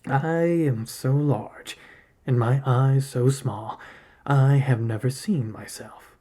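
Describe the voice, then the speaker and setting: A man voicing a massive male arctic spirit creature from a story, sly and needy, speaking in a deliberate, commanding way. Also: medium pitch, dark tone. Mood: solemn pleading.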